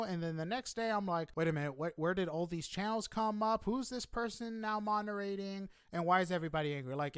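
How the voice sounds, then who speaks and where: A man hosting a casual podcast interview, chatting informally and a little haltingly. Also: a little high, smooth, no room sound, clean studio sound.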